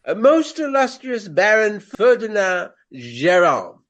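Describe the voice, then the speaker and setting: A man playing a pompous, vain Regency gentleman actor, speaking in florid, courtly phrases in a radio play. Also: sits high, sing-song, slow pace, exaggerated voice. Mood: grandiose. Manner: florid, formal, grandiloquent.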